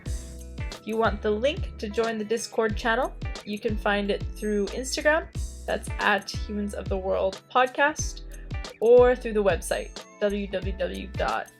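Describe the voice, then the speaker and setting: A woman reading a promotional announcement for a podcast in a brisk, friendly way. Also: medium pitch, soft background music.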